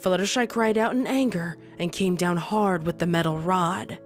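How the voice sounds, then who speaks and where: Low-voiced woman narrator over music. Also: normal speed. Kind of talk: scripted story narration. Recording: prominent music under narration.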